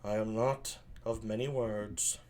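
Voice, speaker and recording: A reader voicing a sullen, curt prince, low and quiet. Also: steady tone, measured pace, natural voice. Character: sullen, terse villainous prince.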